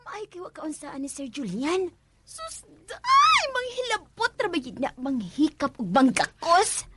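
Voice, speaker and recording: A woman's voice: extremely high pitch, wildly swooping pitch, unhurried, very noisy, full character voice. A woman playing a chatty, earthy senior housemaid in a radio drama, gossipy and bossy, with a Filipino accent. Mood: gossipy, teasing.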